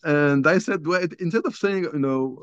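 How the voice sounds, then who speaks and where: An older man from Algeria, a guest author, telling a personal story in a halting, conversational way with many restarts, recorded over a video call from a small room at home. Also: a little high, clear, resonant tone, no echo. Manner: halting, restarts often, many you-knows. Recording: dull lo-fi video call audio.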